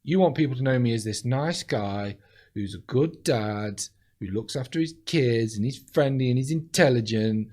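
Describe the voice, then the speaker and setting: A man talking in casual chat as a comedian guest, deadpan and blunt, swearing freely as he tells stories. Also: medium pitch, normal speed.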